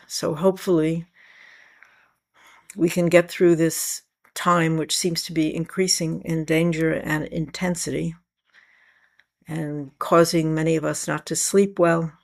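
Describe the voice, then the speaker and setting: Scratchy-voiced woman teaching calmly. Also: low-pitched, vocal fry. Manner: calm, measured, reflective. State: scratchy voice today. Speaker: spiritual teacher. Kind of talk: spiritual talk to a meeting.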